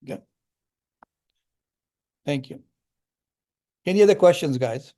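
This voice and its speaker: An older man giving a spiritual discourse, explaining ideas through calm parables and Sanskrit terms, then answering questions from the audience. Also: on the high side, slow pace. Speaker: spiritual lecturer.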